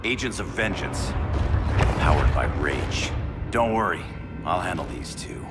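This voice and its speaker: An actor playing a cocky, wisecracking male superhero in a video game, with a dry manner and a gravelly voice. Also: sits low, big pitch swings, a little slow, heavily acted. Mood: guarded, determined. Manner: dry, quipping. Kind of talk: video game cutscene acting. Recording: loud music under the voice. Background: dramatic music.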